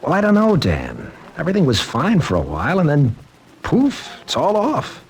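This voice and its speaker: An American actor playing a troubled college teacher with a halting, earnest way of talking, in 1940s-style radio drama. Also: male voice, on the high side, wildly swooping pitch, slight background noise. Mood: troubled, hesitant. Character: troubled, hesitant male college teacher confiding in a friend.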